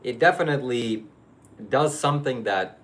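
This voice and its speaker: A man's voice: medium pitch, moderate pace, fairly bright tone. A man from Ukraine, hosting a live stream and chatting casually with viewers in a rambling, hesitant way. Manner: rambling, hesitant, with many fillers and restarts. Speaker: livestream host.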